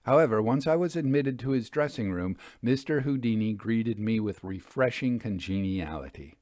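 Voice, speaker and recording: A man reading a period mystery story as scripted narration, in a measured, literary and expressive manner. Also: lowish voice, no echo.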